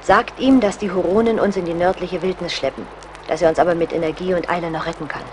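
A woman's voice: fairly low pitch, fast, slight background noise, close to an everyday voice. Woman playing a resolute, brave young lady. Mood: resolute, pleading. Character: brave, self-sacrificing young woman, colonel's daughter. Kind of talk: German radio play acting.

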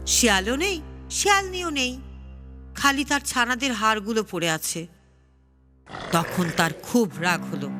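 A woman narrating a children's story expressively, with music behind her. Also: on the high side. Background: background music.

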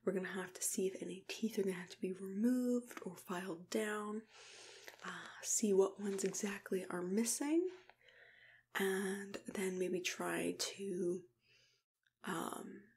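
A young woman speaks softly and slips into whispers, close to the microphone. She plays a caring dentist in an ASMR roleplay, with an unhurried, gentle delivery and a mid-range pitch that reassures the listener. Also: animated. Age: young adult.

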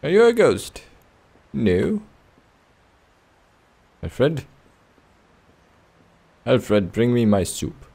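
Slow-talking, mellow-voiced man gaming. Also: mid-range voice, wildly swooping pitch, gravelly, slightly rough. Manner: playful, joking, mutters reactions to the game. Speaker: Let's Play host. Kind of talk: casual video game commentary. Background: video game sounds.

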